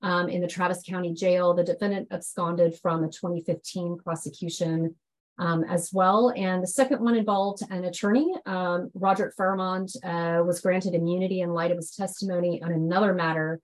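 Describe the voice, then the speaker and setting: A woman giving a businesslike slide presentation on enforcement figures, a little hoarse from a head cold, with frequent ums. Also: lowish voice, fairly even intonation, moderate pace, low-quality recording.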